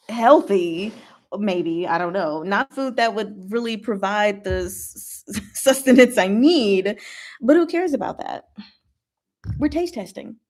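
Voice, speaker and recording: A woman's voice: medium pitch, a little mellow. Lady streamer just chatting w viewers, takes her time talking, giggly little asides. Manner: giggly, reacting aloud in short asides. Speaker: livestream host. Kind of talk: casual livestream chat with viewers.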